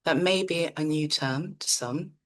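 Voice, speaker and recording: A middle-aged woman from Nigeria, a psychotherapist presenting an online seminar in a calm, measured, reflective way. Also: low-pitched.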